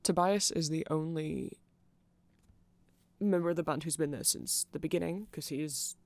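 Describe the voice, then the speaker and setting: A man's voice: fairly high pitch, normal speed, gravelly. A man giving a nerdy, rambling ASMR explanation, often correcting himself and checking in with the listener. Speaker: ASMR creator.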